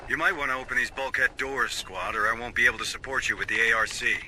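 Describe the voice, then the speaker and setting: Gruff stubborn space marine boss for a game, very sharp forceful voice, dry sarcasm, bit of background noise. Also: male voice, mid-range voice, fast talker. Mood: commanding, focused. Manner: commanding, clipped, dry sarcasm. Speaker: military commander. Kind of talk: video game cutscene acting and mission briefing.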